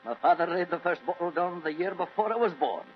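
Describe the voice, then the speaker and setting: A hearty old Scottish grandfather of the landed gentry, pitched high and swinging widely in tone. He exclaims his way through an old radio play on a dull vintage recording. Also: lively intonation, brisk, slight background noise. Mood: jovial, hearty. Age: older. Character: jovial old Scottish baronet, hearty grandfather, excitable host. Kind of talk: old-time radio drama acting.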